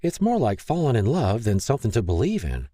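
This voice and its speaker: A gentle, motherly woman speaks softly and with reassuring affection, as a male narrator puts her on in a raised voice. His tone stays mellow and slightly husky. The reading is close and dry, like a storybook. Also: mid-range voice, wildly swooping pitch, a little fast, dry recording, pitched above the performer's own voice. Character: gentle, motherly older woman, kind host. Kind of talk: audiobook character reading.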